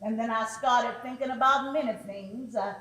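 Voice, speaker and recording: A woman performing a dramatic readers theatre monologue as a weary, solemn preacher, speaking deliberately with oratorical pauses. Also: fairly high pitch, pushed and bright. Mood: weary, troubled. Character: weary preacher solemnly recalling a threatening midnight phone call. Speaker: stage performer. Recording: echoey room.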